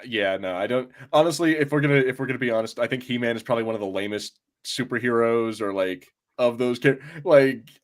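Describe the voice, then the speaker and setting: A man's voice: mid-range voice, brisk, few pauses. A man co-hosting a podcast in casual banter, joking and slangy. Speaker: podcast co-host.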